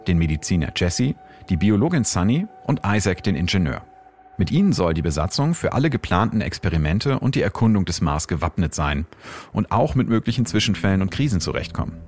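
A man's voice: low-pitched, darkish tone. A man hosting with scripted, explanatory science narration. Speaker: host and science commentator.

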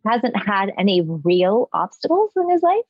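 A middle-aged woman speaking earnestly and haltingly as a guest in a reflective discussion, on a muffled lo-fi microphone. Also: medium pitch, very animated, breathy edge, no echo. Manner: earnest, halting as she forms thoughts. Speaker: podcast guest.

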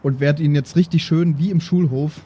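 A man's voice: on the high side, steady tone, normal speed, dark tone, slightly rough. A man playing a tabletop game, casually describing his moves and dice rolls. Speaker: tabletop player.

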